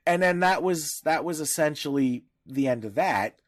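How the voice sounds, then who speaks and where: A man's voice: on the high side, lively intonation, moderate pace, no echo, clean studio sound. A man co-hosting a podcast in casual discussion, talking in a blunt, irreverent way. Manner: blunt, irreverent, freely profane. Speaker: podcast co-host.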